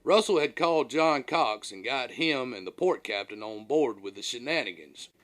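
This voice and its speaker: A man's voice: mid-range voice, animated, normal speed, fairly bright tone. A man reading his own first-person memoir as an audiobook, in a folksy, dramatic storytelling manner.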